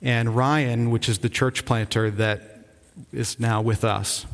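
A man's voice: medium pitch, steady tone. A man from Rhode Island, a pastor, giving an earnest, informal update from the pulpit, often restarting his sentences. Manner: earnest, enthusiastic, halting with frequent restarts. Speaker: visiting church-planting pastor. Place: church sanctuary. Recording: long reverb of a church hall.

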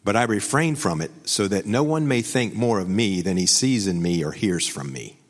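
Male preacher, lowish voice, measured solemn scripture reading, long hall reverb. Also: expressive.

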